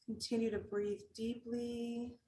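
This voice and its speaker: Slow-paced woman guiding a meditation. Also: fairly high pitch, slight background noise. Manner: calm, gentle, unhurried instruction with long pauses. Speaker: meditation guide and art teacher. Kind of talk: guided meditation. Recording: roomy echo with music underneath.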